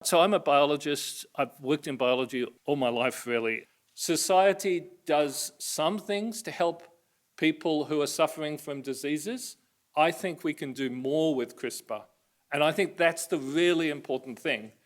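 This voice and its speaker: A man's voice: on the high side, measured pace. A man who is a biologist speaking earnestly in a documentary interview.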